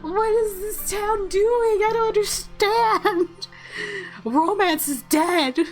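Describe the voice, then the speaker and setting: A woman hosting a let's play, reading story narration and reacting casually in a playful, expressive way. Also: very high voice, slow pace, faint music behind. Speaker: gaming video host.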